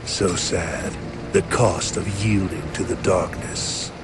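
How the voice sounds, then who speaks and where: An actor playing a brooding villain lord in a video game, measured, ominous and condescending. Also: male voice, low voice, music in the background. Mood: cold condescension. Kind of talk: dramatic video game acting.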